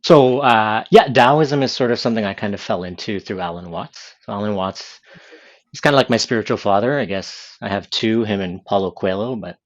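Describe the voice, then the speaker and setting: A man's voice: on the low side, normal speed, no echo, muffled recording. A man from Canada, a podcast guest, explaining his views thoughtfully and at length. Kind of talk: casual conversation.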